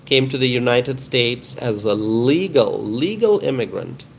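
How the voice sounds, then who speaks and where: Slow-talking Bangladeshi man over background noise. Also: mid-range voice, animated, gravelly. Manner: friendly, welcoming, repeats himself. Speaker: live stream host. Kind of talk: casual live-stream chat with viewers.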